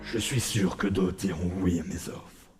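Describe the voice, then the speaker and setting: A cold, menacing void spirit acted by a man speaks low in a dramatic comic dub. He coaxes with condescension, his voice echoing over clear background music. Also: a little slow.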